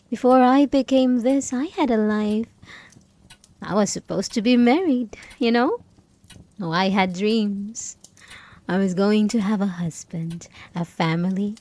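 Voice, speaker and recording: A woman performs a haunted porcelain doll, possessive and vengeful, in an intimate audio roleplay. The voice is soft and mellow, slightly breathy, and takes its time, coaxing the listener with quiet menace. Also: mid-range voice. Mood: anguished, resentful.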